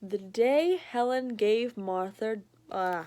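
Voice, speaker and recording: Woman, fairly high voice, slow storytelling, children's read-aloud, playful asides to the listener. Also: animated, dark tone. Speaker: children's book reader. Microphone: recorded to a screen for remote viewing.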